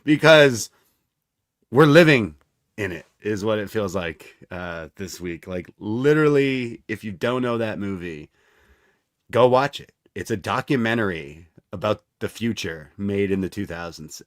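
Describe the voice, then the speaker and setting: A man's voice: fairly low pitch, moderate pace, dry recording, studio quality. A man co-hosting a podcast, talking in a casual, rambling, conversational way. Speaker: podcast co-host. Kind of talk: casual chat.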